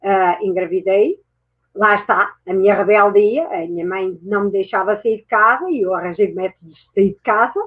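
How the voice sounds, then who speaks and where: A woman's voice: mid-range voice, moderate pace. A woman who grew up in France, a guest mentor telling her own story reflectively, correcting herself as she goes. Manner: reflective, speaks with many self-corrections. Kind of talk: personal storytelling in a chat. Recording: tinny phone-like audio.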